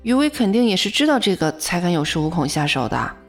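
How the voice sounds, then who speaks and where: A woman's voice: on the low side, big pitch swings, quick pace. An actress playing a modest young female office worker in an audio drama, in first-person narration and dialogue. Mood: puzzled. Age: young adult. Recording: music bed behind the voice.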